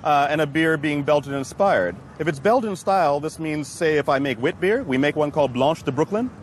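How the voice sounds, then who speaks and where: A man's voice: on the high side, fairly quick, slight background noise. An American man, a brewmaster, talking casually and laughing easily in an outdoor chat. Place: outdoors in a city street.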